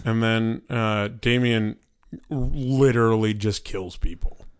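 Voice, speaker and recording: A man's voice: fairly low pitch, steady tone, slow, clean tone, dry recording. A man casually guessing answers in a chat, hesitant and jokey, with many fillers. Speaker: guest.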